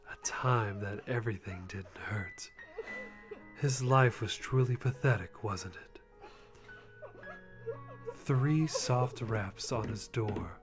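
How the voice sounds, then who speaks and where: A man giving a dramatic live reading of fan fiction in a mock-serious way, often close to laughing. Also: lowish voice. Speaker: channel host reading a fanfic. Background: background music.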